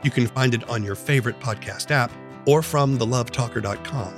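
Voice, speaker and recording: A man with a mid-range voice hosts a podcast. He reads out an announcement, with quiet guitar music behind him. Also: medium pitch, fairly quick.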